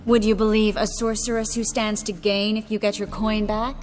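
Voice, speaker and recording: An actress playing a world-weary young sorceress in a video game, speaking in a dry, measured, articulate way. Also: female voice, mid-range voice, level tone, darkish tone, big-room sound, faint music behind, close to an everyday voice. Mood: dry, impatient.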